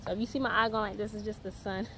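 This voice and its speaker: A woman's voice: mid-range voice, fairly even intonation, very fast, bright, forceful tone. A young woman, a mother talking casually and teaching her toddler with sing-song prompts, recorded outdoors in a park. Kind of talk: casual vlog and simple lesson to a small child. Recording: outdoor recording with background noise. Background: outdoor ambient noise.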